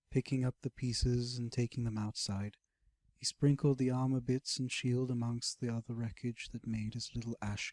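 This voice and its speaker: A man narrating a scripted fantasy audiobook as a dramatic, expressive storyteller. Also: medium pitch, no echo.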